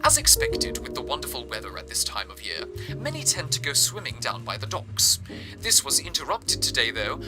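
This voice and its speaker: A man acting as an earnest radio drama presenter with a slightly high, very lively voice. He speaks formally and deferentially, with theatrical scripted polish, over clear background music. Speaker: radio broadcast host.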